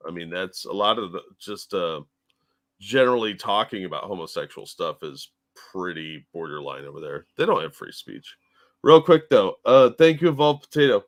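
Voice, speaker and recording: A man's voice: sits low, sing-song, normal speed. A man co-hosting a casual commentary show, laid-back and casually profane, answering viewers' chat messages. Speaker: co-host.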